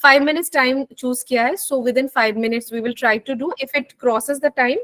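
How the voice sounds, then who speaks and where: Woman, fast talker, slightly high voice, video call, class instructor. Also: a bit bright. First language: Bengali. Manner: switches between English and Hindi, many fillers. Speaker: nutrition instructor hosting an online class. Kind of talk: online class briefing.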